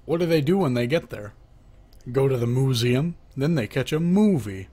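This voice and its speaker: A man's voice: medium pitch, lively intonation, moderate pace, mellow, slightly rough. A man reading a children's joke book aloud, announcing who speaks before each line and lifting his voice for the questions. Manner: lifts his voice for the asking half of knock-knock jokes.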